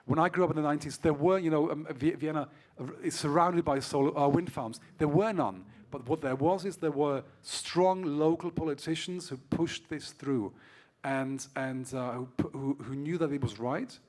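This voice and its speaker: A man's voice: on the high side, moderate pace, darkish tone. A man who grew up in Vienna, speaking frankly on a conference panel as a solar association representative, often restarting his sentences. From Austria. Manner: frank, many restarts and repeated words. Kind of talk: panel discussion.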